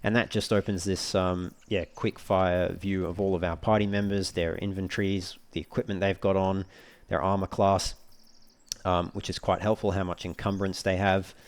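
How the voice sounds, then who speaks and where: A man giving casual let's play commentary as a walkthrough host, explaining things in a measured, wordy, slightly formal way. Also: low-pitched, little pitch movement.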